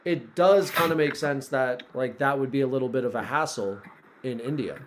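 A man's voice: medium pitch, moderate pace, slight background noise. A man from the United States hosting a casual conversation, rambling and swearing casually.

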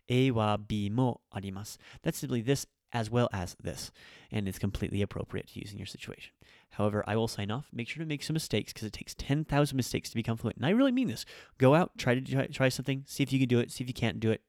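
A man's voice: mid-range voice, no room sound, clean studio sound. A man hosting a casual grammar lesson, chatty and self-correcting as he walks through examples. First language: English. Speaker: language teacher.

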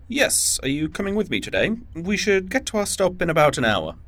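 A polite spy boss acted by a man in an audio drama. His manner is formal and measured, with menace veiled under courtesy, and his pitch moves around freely as he talks. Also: very animated, fairly bright tone, full character voice. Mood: courteous.

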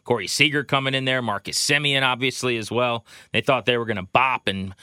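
A man hosting a sports podcast, talking baseball in an energetic show style. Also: mid-range voice, brisk, smooth, no room sound, clean studio sound.

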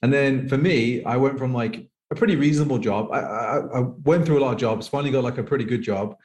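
Man, 30s, from the UK, poker pro guest, quick rambling speech, roomy echo. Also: medium pitch, a little mellow. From United Kingdom. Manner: rambling, heavy use of "like". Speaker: professional poker player guest. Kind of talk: casual interview chat. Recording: echoey room.

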